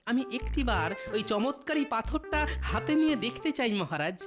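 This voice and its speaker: A man's voice: very high voice, very animated, moderate pace. A man voicing a clever, witty court jester in a Bengali comedy cartoon, theatrical and humbly pleading as he explains himself. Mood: humble, pleading. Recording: music behind the voice.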